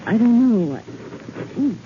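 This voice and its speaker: A woman's voice: fairly high pitch, lively intonation, slow pace. Anxious, refined young lady in vintage radio thriller; swooping, plaintive delivery, dull noisy old recording. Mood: pained, frightened. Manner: worried, plaintive. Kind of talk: 1940s American radio acting.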